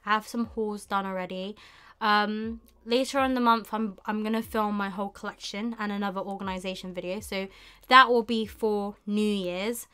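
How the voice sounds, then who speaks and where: A young woman hosting a casual live stream, thinking aloud in an unhurried way with murmured asides. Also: mid-range voice, steady tone, sharp tone, clean tone. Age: young adult. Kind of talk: casual chat while shopping online. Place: small indoor room with bookshelves.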